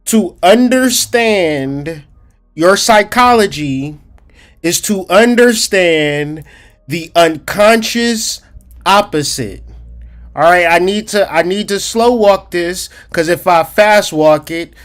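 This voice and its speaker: A man hosting a casual livestream about psychology, streetwise and slangy, talking straight to his chat and slowing down to stress key points. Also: high-pitched. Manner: emphatic, slows down to stress points. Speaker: livestream host.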